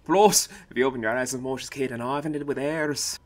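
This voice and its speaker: Male actor as a smug mocker, mid-pitched, blunt and teasing. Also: fairly even intonation, brisk, lightly acted. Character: smug, mocking man who sees souls. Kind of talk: fan audio drama acting.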